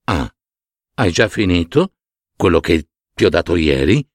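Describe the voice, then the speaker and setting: Man as a storybook python, low voice, grave, wise, measured, solemn, dry close sound. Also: big pitch swings, no room sound, full character voice. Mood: grave, kindly. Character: grave, wise, generous male python of folk tale.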